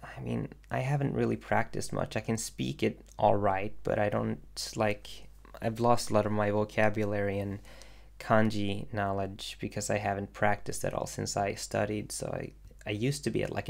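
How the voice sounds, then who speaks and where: A young man from Sweden chatting casually on a livestream, answering viewer questions in a hesitant, soft-spoken way with lots of ums and restarts. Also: on the low side, level tone, normal speed, gravelly. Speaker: independent animator streaming.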